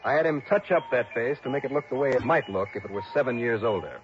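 An actor playing a dogged, wry insurance investigator in a mid-century radio detective drama, clipped and world-weary. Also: male voice, medium pitch, animated, fast talker, over music, natural voice. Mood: wry, narrating. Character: dogged, wry male insurance investigator narrating his case. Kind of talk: 1950s-60s radio detective drama acting. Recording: muffled old radio broadcast recording.

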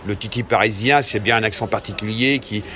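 Man from Normandy, casual halting testimony, lowish voice, faint ambience. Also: sharp tone, a little hiss or hum. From Perche, Normandy. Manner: hesitant, many restarts and fillers. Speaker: cheesemonger interviewee.

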